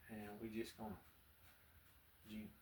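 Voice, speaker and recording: A man with a strong Southern US accent, chatting in a folksy, unhurried way as he teaches painting, murmuring asides to himself. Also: on the low side, little pitch movement, a little mellow. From American South. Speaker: painting instructor. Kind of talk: relaxed painting tutorial with casual chat. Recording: distant mic with clear background noise.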